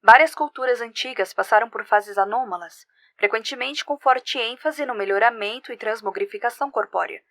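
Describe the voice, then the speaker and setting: A woman performs as a female research doctor reading her notes in an audio drama. Her voice is a little high, with a formal, precise delivery. The sound is dry, with almost no room. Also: normal speed, hardly any pauses, a bit bright, no room sound. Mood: questioning. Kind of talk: scripted audio drama narration.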